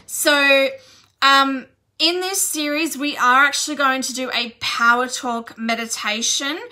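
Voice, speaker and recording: Woman in her thirties from Australia, a slow, deliberate coach speaking to her phone camera at home. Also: high voice, fairly even intonation, bright, forceful tone. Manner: earnest, encouraging, slow and deliberate. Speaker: business and self-development coach. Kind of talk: motivational talk to camera. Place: indoor room at home.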